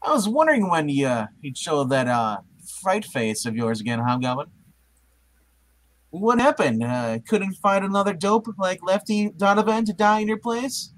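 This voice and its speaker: A reader playing an earnest, protective young male superhero in a hammy, halting live comic book puppet reading. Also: medium pitch, very animated, close to an everyday voice. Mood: defiant. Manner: hammy, halting read-aloud with asides.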